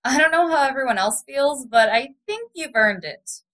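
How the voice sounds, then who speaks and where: A woman's voice: high-pitched, expressive, normal speed, a bit bright. A woman playing a supportive, frank, fun-loving friend in an audio drama. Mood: encouraging. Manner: encouraging, frank.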